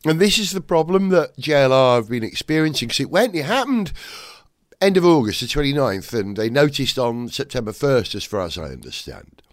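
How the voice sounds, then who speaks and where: Man, podcast host, husky gravelly voice, lively swooping pitch, dry close sound. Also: fairly quick, no echo. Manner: animated, chatty storyteller. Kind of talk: casual podcast chat.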